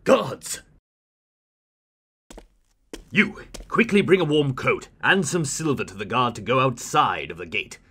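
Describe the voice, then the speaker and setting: A man playing a kind, regal king in an animated fairy tale, speaking in a formal, declarative way. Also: mid-range voice, unhurried, pauses often, slightly rough, heavily acted. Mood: flustered, commanding. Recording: music under the voice.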